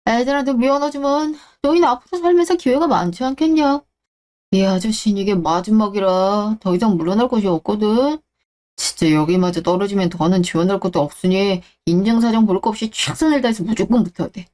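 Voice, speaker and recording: A woman's voice: medium pitch, brisk, darkish tone, natural voice. Woman acting out a smug, blustering middle-aged ex-husband. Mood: scheming, self-assured. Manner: blustering, condescending, coarse. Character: smug, shameless middle-aged ex-husband, bullying and self-pitying. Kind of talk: Korean radio-drama story acting.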